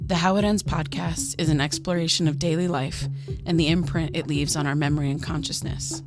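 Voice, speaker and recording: An actress playing an earnest, curious young American podcaster in an audio drama, speaking in a conversational, slightly hesitant way. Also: female voice, deep voice, fairly even intonation, fairly quick, over music, close to an everyday voice. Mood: earnest, measured. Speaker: podcast host character.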